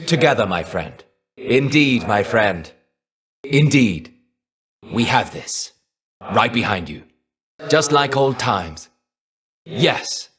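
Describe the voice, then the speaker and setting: An actor playing the shade of a fallen Greek warrior in a video game, wry, reserved and melancholy, with a measured, understated delivery. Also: male voice, mid-range voice, lively intonation, fairly bright tone. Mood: resolute. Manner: measured, understated, wistful, trailing off at phrase ends. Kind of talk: video game character voice lines.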